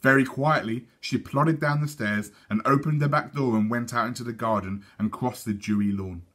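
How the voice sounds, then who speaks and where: A man reading a children's story aloud like a teacher, in a measured, expressive way. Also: mid-range voice. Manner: measured, animated.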